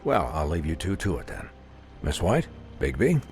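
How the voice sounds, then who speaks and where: A man voicing a matter-of-fact physician in a video game, measured, dry and clinical. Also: sits low, sing-song, darkish tone, faint music behind. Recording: faint score under the voice.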